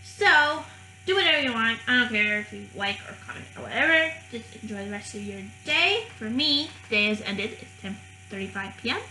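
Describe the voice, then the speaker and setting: Bright-voiced young woman vlogging in an echoey room. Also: on the high side, animated, moderate pace, slight background noise. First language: French. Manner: rambling, with many fillers and restarts. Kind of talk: casual talking-head vlog.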